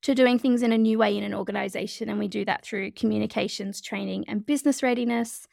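A woman with an Australian accent, an energetic and bubbly podcast guest who often laughs while chatting casually about her work and life. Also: mid-range voice, fast talker, creaky, no echo, clean studio sound. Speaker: guest, change leadership expert. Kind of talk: casual interview chat.

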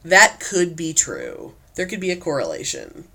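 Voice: mid-range voice, wildly swooping pitch, moderate pace, vocal fry, pushed and bright. A co-host in a casual chat, reacting often with surprised exclamations.

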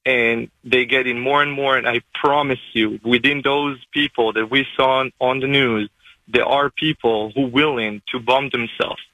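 Gravelly man calling in. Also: mid-range voice, fairly even intonation, bright, forceful tone, no echo, rough recording. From Israel. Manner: fast, halting, with many restarts. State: emotional. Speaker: caller. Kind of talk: call-in testimony.

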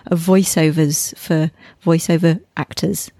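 A woman's voice: low-pitched, steady tone, measured pace, mellow, no echo, clean studio sound. A woman hosting a podcast interview, engaged and asking long questions. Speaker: podcast host.

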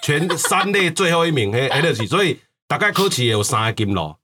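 A man's voice: a little high, big pitch swings, brisk, background noise. A man with a Taiwanese accent chatting casually as a podcast host.

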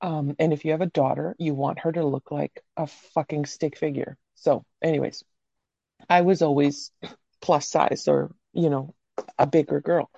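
A woman with a low, warm, mellow voice chats as a podcast guest, rambling candidly and dropping the odd curse. The recording is dry and a bit dull and lo-fi. She grew up in Canada. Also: steady tone, moderate pace, creaky, dry recording. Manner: candid, rambling storyteller with casual swearing. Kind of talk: casual interview chat.

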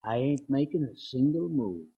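An actor playing a cool, menacing gangster boss with a husky voice in 1940s radio drama. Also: male voice, medium pitch, expressive, moderate pace, mellow. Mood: wary. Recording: muffled old radio, off mic.

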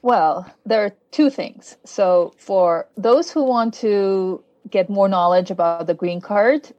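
A woman with a foreign accent and a first language other than English speaks as a podcast guest. She explains earnestly, pausing on fillers, with a dry, close sound and a mid-pitched voice. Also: dry recording, lo-fi sound. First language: not English. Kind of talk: casual interview chat.

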